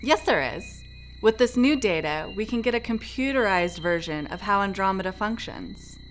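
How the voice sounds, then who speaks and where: A woman's voice: medium pitch, lively intonation, normal speed, over loud music, close to an everyday voice, low-quality recording. A woman playing an assertive, sardonic research scientist in a radio drama. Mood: explaining.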